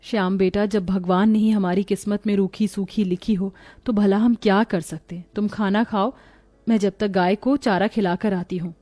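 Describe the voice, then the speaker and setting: A woman voicing a worried, devout poor village wife in a cartoon story, speaking softly and pleadingly. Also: medium pitch, steady tone, normal speed, no room sound, natural voice.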